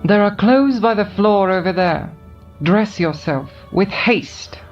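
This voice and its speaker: A proud, imperious noblewoman, played by a woman, gives orders slowly and with calm authority. Her voice sits at a middle pitch and lingers over every word. The audio is slightly muffled, with faint music underneath. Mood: commanding. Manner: slow, commanding, composed.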